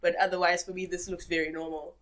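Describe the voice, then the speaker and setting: A young woman from Zimbabwe chatting on a podcast, her voice sitting a little low for a woman. She tells her stories in a playful, animated way and breaks into easy laughter. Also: fast talker. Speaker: podcast guest. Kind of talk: casual chat.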